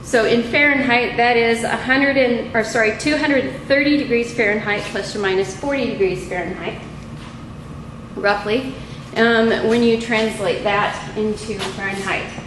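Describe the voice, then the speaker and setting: A middle-aged woman instructor giving an unscripted, methodical lab demonstration in a halting way, picked up by a camera a few feet away in a small room. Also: on the high side. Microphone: camera mic a few feet away. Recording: echoey room with clear background noise.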